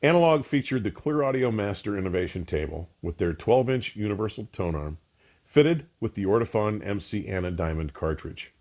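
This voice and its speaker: Low-voiced man, animated scripted product review. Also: moderate pace. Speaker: audio equipment reviewer and show host.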